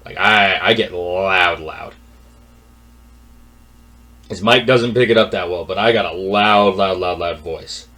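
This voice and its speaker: A young man telling a personal story in a casual rant, exasperated and repetitive, dropping to a mutter and then rising loud. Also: low voice, fairly even intonation, unhurried, long pauses.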